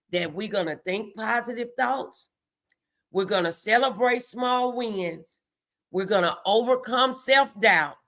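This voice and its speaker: A woman's voice: medium pitch, sharp tone. A middle-aged American woman hosting a motivational faith talk, exhorting listeners in an emphatic, preacher-like way. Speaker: host of a morning motivational show.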